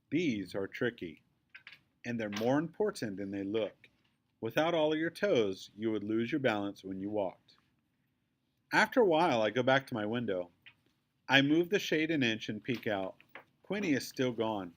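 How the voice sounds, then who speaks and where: A man narrates a children's story from a book. His voice sits at a middle pitch. He delivers the text in short, simple phrases as a storyteller. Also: slight background noise. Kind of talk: scripted narration, reading a children's story aloud.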